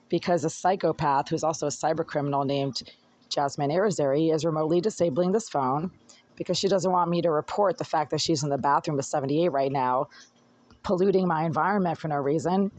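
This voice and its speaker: A hoarse tenant recording an agitated, rambling complaint on a phone in an apartment, talking while fiddling with the device. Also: mid-range voice, a little mellow. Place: apartment indoors. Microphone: phone held by speaker.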